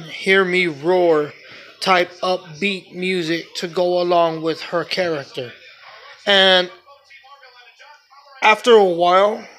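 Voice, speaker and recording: A man's voice: sits high, a bit bright, low-quality recording. A man giving a casual, opinionated film review as a blunt, exasperated rant, swearing freely and repeating his complaints.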